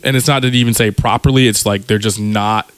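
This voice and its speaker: A man's voice: lowish voice, fairly even intonation, fairly quick, clear, resonant tone. A man co-hosting a podcast in casual chat, giving short direct suggestions. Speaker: podcast co-host, DJ.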